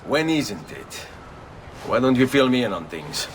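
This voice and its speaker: An actor playing a calm, determined assassin with an Italian accent and a measured delivery in a video game. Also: male voice, medium pitch, slight background noise, rough recording. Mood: businesslike. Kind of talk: video game cutscene acting.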